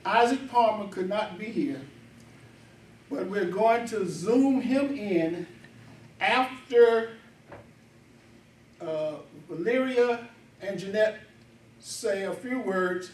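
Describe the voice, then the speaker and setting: A man's voice: sits high, takes it slowly, long pauses. A man in his seventies making informal announcements as master of ceremonies at a gathering. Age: 70s. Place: echoey indoor gathering room. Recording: echoey room, distant mic, faint noise.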